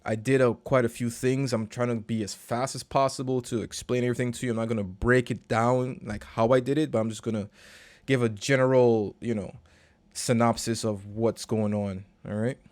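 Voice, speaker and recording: A man, a recording and mixing engineer, casually walking through a session in a relaxed, slangy, conversational way. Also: medium pitch, moderate pace, no room sound. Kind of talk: casual screen-share walkthrough.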